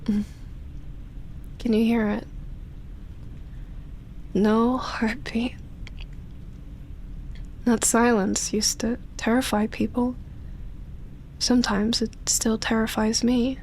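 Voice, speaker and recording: An ancient vampire woman, played by a female voice actor, speaks very slowly and softly, often near a whisper. She is tender, lonely and seductive, pausing as if hesitant, in an intimate roleplay drama. Ambient noise sits clearly behind her voice. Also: fairly high pitch, level tone, rough recording. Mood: wistful, sorrowful. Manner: slow, hesitant, sighing delivery with long pauses.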